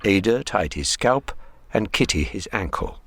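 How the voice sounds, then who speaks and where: A man's voice: lowish voice, expressive, unhurried, gravelly, fairly bright tone, no room sound, exaggerated voice. A man narrating a scripted radio drama.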